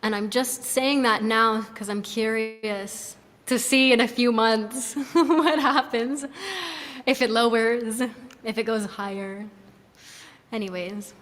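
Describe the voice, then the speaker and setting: A woman's voice: on the high side, steady tone, slow, fairly bright tone. A woman chatting casually on a live stream, informal and giggly, drifting into little asides. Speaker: singer and music maker, social media producer. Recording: long reverb on live stream mic.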